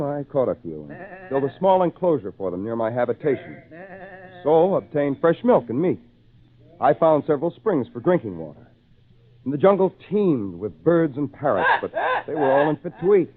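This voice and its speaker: An actor playing a lonely, earnest castaway in an old radio drama, with theatrical, deliberate phrasing. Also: male voice, fairly high pitch, big pitch swings. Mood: storytelling. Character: lonely castaway man, brooding, earnest, excitable. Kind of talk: old time radio drama narration and acting. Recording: tinny, noisy old radio recording.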